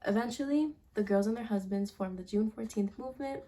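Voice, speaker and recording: A young woman walks through her slides in a student presentation, her voice mellow and soft-edged. She talks casually, pausing and restarting now and then as she explains. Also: on the high side. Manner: casual, slightly halting delivery with fillers. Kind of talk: class presentation over slides.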